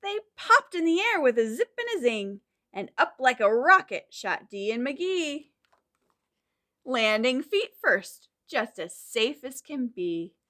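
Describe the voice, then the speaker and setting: A woman's voice: high-pitched, sing-song, slow, sharp tone. A woman hosting a storytime for young children, reading expressively and dramatically and putting questions to her listeners. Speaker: children's library storytime host. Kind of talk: animated picture-book reading and rhymes for young children.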